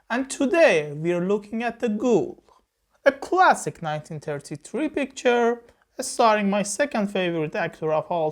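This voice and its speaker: Slow-speaking woman host. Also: mid-range voice. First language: Persian. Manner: measured, lecturing delivery with frequent "uh" pauses. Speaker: film review host. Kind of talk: explanatory film review talk.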